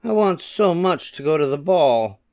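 Man voicing a meek, high-pitched young maiden. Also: animated, normal speed, a little mellow, no echo. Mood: wistful. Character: kind, beautiful, downtrodden young Cinderella, meek and wistful. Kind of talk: storybook character voice.

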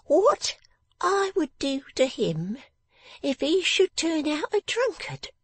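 Shrill, bossy little scold. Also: female voice, very animated, slow pace, no room sound, full character voice. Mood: brooding, vengeful. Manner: emphatic, snapping, imperious. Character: sharp-tongued, bossy, vengeful young woman, scolding like a shrew. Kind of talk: dramatised storybook reading.

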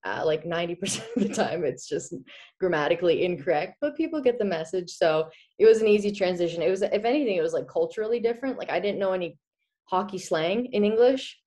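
A woman in her twenties from the Czech Republic, chatting as a guest in a video-call interview, animated and quick to laugh. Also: lowish voice. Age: 20s. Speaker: guest, former college hockey player. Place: small indoor room. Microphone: laptop webcam on video call.

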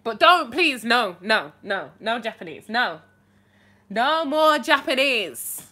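Young woman, bubbly livestream host, chatty and animated, with a bright, slightly high voice, on a phone mic in a small bedroom. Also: takes it slowly. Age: young adult. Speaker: livestream host answering viewer questions. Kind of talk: casual live chat. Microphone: phone held at arm's length.

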